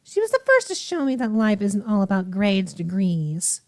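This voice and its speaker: A woman voicing a soft-spoken, coldly obsessive young female killer from a visual novel, measured and sinister. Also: medium pitch, wildly swooping pitch, no room sound. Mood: feigned remorse. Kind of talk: visual novel character reading.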